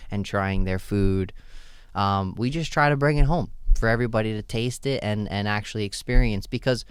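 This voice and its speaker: A man's voice: fairly low pitch, normal speed, clear, resonant tone, dry recording. A man who owns a shop, answering interview questions in a casual, loose way with lots of "right?" and "you know". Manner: relaxed, loose, many fillers and "right?" tags. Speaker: store owner, guest.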